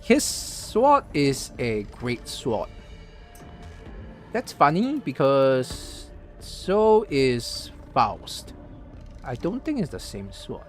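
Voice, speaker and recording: A man's voice: medium pitch, wildly swooping pitch, very slow, soft background music. A man from Singapore giving casual live-stream commentary on a game's story, thinking aloud with lots of fillers and restarts. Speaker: streamer reacting to a game story.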